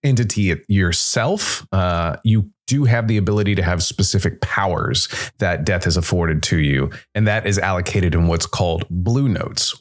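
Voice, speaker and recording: Low-pitched man explaining a game. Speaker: solo RPG show host. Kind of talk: explanatory game review talk.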